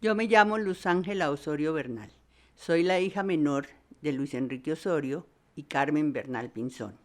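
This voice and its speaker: A woman's voice: low voice, moderate pace. An older Colombian woman, a professor, giving a plain introduction of herself. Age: 60s or older. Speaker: doctor and professor, guest.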